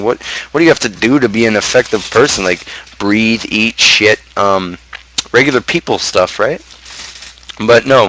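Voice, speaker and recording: A man with a North American accent speaks in a fairly low voice. He talks like the host of a casual online stream, loose and rambling. His delivery is blunt and slangy, with a crude edge. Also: sharp tone, low-quality recording. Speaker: host of a gaming channel's talk show. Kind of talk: casual livestream chat, book review.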